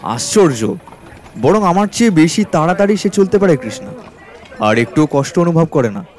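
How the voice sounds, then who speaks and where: An actor playing a carefree, trusting uncle in a radio drama, chatting in a jovial, easygoing manner. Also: male voice, fairly high pitch, sing-song, normal speed, a little mellow. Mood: amused, chatty.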